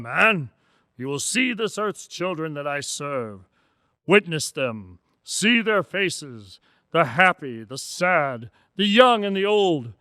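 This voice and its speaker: Giant festive spirit, radio drama, booming, declamatory, good-natured authority, raised pitch, dry sound. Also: male voice, bright, forceful tone, dry recording, full character voice. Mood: commanding, grand.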